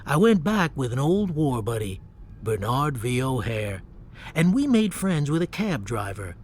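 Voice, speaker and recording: Wry war-veteran narrator, lively range. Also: male voice, medium pitch, measured pace. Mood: grim, matter-of-fact. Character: reflective male narrator-author, war veteran, grimly matter-of-fact. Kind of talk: scripted narration in a radio drama.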